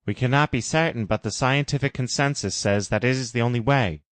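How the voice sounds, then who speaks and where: A man's voice: mid-range voice, brisk, a bit bright, no room sound, pitched above the performer's own voice, natural voice. A man voicing a grave male adviser who gives dire warnings, earnest and emphatic, in a parody story. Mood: urgent warning.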